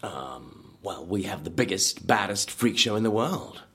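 An actor playing a brash theme park designer, husky and animated, relishing gruesome details in an audio drama. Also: male voice, deep voice, a little slow, full character voice. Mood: excited showmanship. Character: brash, morbidly enthusiastic male designer of a dangerous theme park.

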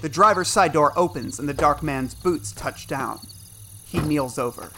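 A man giving measured, suspenseful scripted narration for an audio drama. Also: a little high, noisy background. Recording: music and effects under narration.

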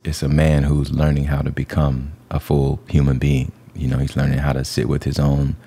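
A man's voice: a bass voice, completely flat delivery, moderate pace, dark tone. A man talking reflectively in an interview.

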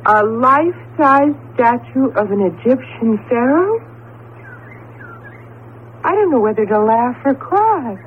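An exasperated, sardonic wife in a 1970s radio drama. Her delivery is theatrical and emphatic, swinging widely in pitch, on a thin, telephone-like recording with faint hiss. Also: lively intonation, slow pace, long pauses. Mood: wry, bemused.

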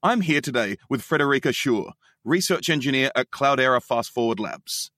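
A man's voice: medium pitch, lively intonation, moderate pace, a bit bright, no echo, studio quality. A man hosting a data science podcast, introducing a guest and asking her short questions. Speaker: podcast host. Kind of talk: scripted podcast segment.

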